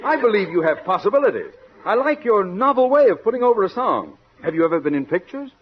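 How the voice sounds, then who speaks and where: An actor playing an effusive, patronizing gentleman talent-spotter with formal, mannered delivery, in 1940s radio comedy. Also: sits high, big pitch swings, quick pace, breathy edge, exaggerated voice. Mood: patronizing, intrigued. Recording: dull old radio recording, audience.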